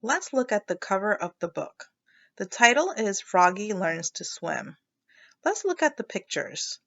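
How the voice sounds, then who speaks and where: A woman's voice: mid-range voice, very animated, unhurried, fairly bright tone, highs cut off. A woman teacher leading a children's read-aloud lesson, explaining as she thinks aloud and prompting listeners with questions.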